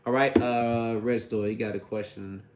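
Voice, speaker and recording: A man with a hoarse, raspy voice from a cold, hosting a casual livestream and answering viewer questions about credit repair. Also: medium pitch, fairly even intonation, moderate pace, darkish tone, roomy sound, a little hiss or hum. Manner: reads viewer comments aloud, chatty and loose.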